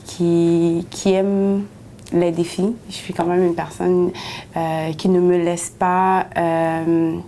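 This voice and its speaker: A woman. Her voice is low and mellow, with little rise and fall. She pauses often and restarts her sentences while a faint rumble sits underneath. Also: flat delivery. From Haiti. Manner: hesitant, many pauses and restarts. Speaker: interviewee, city councillor. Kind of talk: personal testimony in an interview.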